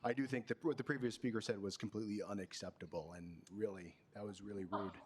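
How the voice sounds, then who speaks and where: A young male student senator speaking off the cuff in debate, halting and restarting with fillers. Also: on the low side, steady tone. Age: young adult. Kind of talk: impromptu floor debate. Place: student senate meeting room.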